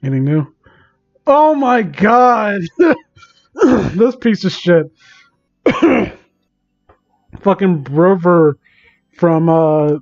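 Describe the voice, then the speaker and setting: A man doing a casual livestream reading and commentary, exasperated, sarcastic and sweary, with a halting delivery. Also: a little high, a little mellow, lo-fi sound. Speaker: live streamer reading and mocking online fan fiction.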